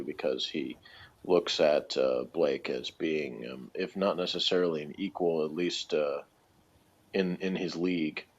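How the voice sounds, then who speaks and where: A man's voice: very deep voice, creaky, breathy edge. A man chatting casually as a podcast reviewer, thinking aloud in a hesitant, hedging way. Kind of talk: casual chat, rambling commentary. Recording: dull lo-fi recording.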